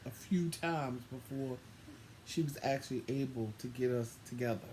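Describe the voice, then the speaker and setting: A man with a mellow, relaxed tone recounts a story conversationally. His delivery is dry and sassy, as if unimpressed, and he voices quoted remarks as he goes. Some room noise is audible behind him. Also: mid-range voice, moderate pace. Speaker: co-host telling a couple's story. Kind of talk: casual storytelling with acted-out quotes. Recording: noisy room ambience.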